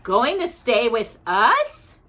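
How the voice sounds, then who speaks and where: A woman voicing a stern, fussy but kindly housekeeper in a storybook, brisk and exclamatory. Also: fairly high pitch, wildly swooping pitch, bright, forceful tone, pitched above the performer's own voice, full character voice. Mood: dismayed.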